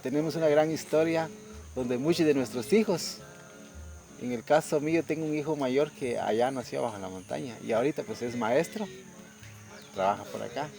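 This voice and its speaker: A man's voice: mid-range voice, gravelly, soft background music. Earnest middle-aged man giving testimony. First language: Kaqchikel. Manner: steady, earnest, with pauses. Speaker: community resident sharing testimony. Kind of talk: testimony interview.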